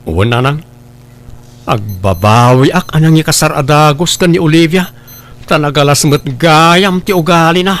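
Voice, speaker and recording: A regretful young Filipino husband, acted by a man in a comedy drama. His voice is fairly high, with a plaintive, complaining delivery. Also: normal speed, fairly bright tone.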